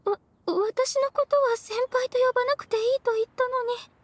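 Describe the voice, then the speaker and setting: A woman playing a gentle, polite young half-beast woman who is easily flustered, in Japanese anime game style. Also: very high-pitched, steady tone, normal speed, no echo. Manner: soft, courteous, bashful.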